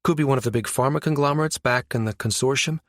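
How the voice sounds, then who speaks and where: A man voicing a brisk, sardonic, exasperated woman space operative with informal, slangy speech in a science fiction audiobook. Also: mid-range voice, dry recording, studio quality, pitched above the performer's own voice, lightly acted. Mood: explaining.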